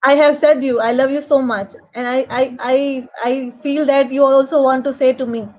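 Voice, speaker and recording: Pakistani woman host, highish voice, repeats herself and gushes, muffled lofi call sound. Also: fairly even intonation. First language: not English. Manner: halting, repetitive, gushing. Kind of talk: online talk show, effusive informal hosting. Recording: dull, lo-fi online call audio.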